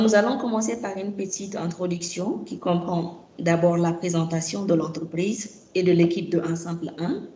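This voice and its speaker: A woman's voice: fairly low pitch, mellow. Woman, webinar moderator, formal, polite, roomy room sound, faint noise. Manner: polite, formal, structured announcements. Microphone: video call microphone.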